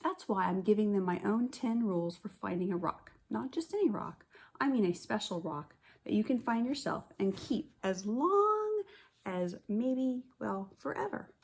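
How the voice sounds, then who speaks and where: A woman kindergarten teacher reading a picture book aloud, with expressive stressed words and chatty asides to the children. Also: medium pitch, normal speed, gravelly, slightly rough.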